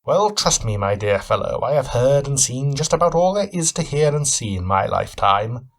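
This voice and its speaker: A man's voice: mid-range voice, very animated, moderate pace, voice pushed up. A man voicing a thin, keen-eyed older male detective who speaks in a formal, courteous, deducing way. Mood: genial.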